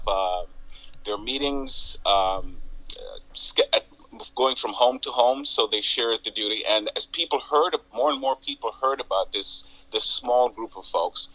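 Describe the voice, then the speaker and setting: Jamaican man with a bright edge. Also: lowish voice, rough recording. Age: older. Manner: measured, halting storytelling with restarts. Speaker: guest, community association president. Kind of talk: interview on local TV.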